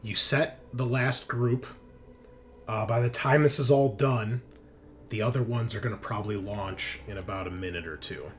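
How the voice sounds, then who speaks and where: A man's voice: mid-range voice, moderate pace. A man improvising narration as game master of a tabletop roleplaying game, recorded with faint noise behind him.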